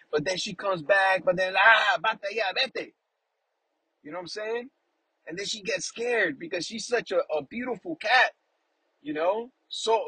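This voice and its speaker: A man's voice: high voice, slightly rough, no room sound, rough recording. A man hosting a casual faith talk, rambling affectionately and often asking "you know what I'm saying?". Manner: affectionate, warmly emotional about family. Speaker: podcast host.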